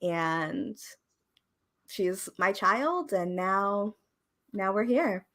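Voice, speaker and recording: A woman in her twenties from Oregon, a podcast producer, talking in a relaxed, rambling way with fillers and small laughs. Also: medium pitch, drawn-out words. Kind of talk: casual conversation interview.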